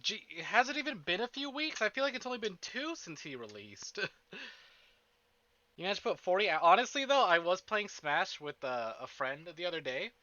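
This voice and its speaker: A man chatting casually to livestream viewers in an animated, self-interrupting, playfully profane way, as host of a game stream. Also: high-pitched, normal speed, no echo, highs cut off. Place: indoors at a desk.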